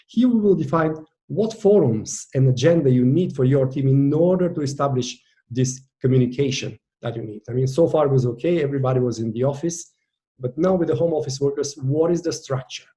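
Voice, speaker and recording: Bulgarian-accented man with a mellow voice. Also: mid-range voice, brisk. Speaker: virtual team coach. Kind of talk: online masterclass presentation.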